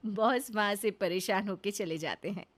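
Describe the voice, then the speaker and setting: A woman's voice: medium pitch, normal speed. A woman narrating a scripted story as a storyteller.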